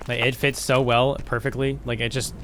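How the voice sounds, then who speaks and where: A young man talks loosely and eagerly as he shows off his game's features, full of slang and fillers. His voice sits at a middle pitch. A faint hiss runs under the recording. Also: moderate pace, poor audio. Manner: excited, casual slangy chat with many fillers. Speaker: game developer. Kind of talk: casual screen-recorded walkthrough.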